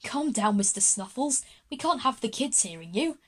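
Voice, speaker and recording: A woman's voice: on the high side, animated, normal speed, husky. A woman playing a kindly, eccentric male headmaster in a children's audio drama. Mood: genial.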